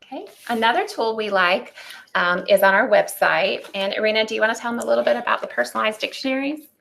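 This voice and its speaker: A woman's voice: on the high side, fast talker, creaky, fairly bright tone, low-quality recording. A middle-aged woman teacher giving an upbeat, step-by-step webinar presentation on a computer mic. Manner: upbeat, explanatory, walks through steps.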